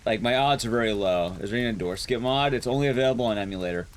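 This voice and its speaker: A young man with a loud, carrying voice chatting casually with his viewers while streaming a game, rambling and restarting sentences as he goes. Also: racing, slight background noise. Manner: rambling, restarts sentences, lively rises and drops in pitch. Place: his room at home, soundproofed.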